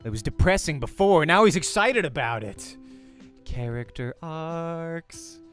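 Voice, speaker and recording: A man's voice: a little high, expressive, measured pace, fairly bright tone. Man narrating a visual novel, music underneath. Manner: informal, breaks off to comment on slips. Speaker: voice actor reading a game. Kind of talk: first-person story narration of visual novel. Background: faint game music.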